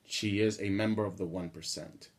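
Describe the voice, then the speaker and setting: Earnest deep-voiced man from the Dominican Republic. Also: fairly even intonation, normal speed. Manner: earnest, building indignation, gesturing with hands. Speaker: vlogger. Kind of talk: direct-to-camera monologue. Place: small indoor room at a table.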